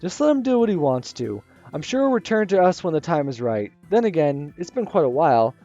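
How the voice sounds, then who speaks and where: Man doing a deadpan teenage boy. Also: fairly quick. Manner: low, deadpan. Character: calm teenage boy friend, dry and teasing. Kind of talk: reading a visual novel aloud. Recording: slightly muffled with soft music.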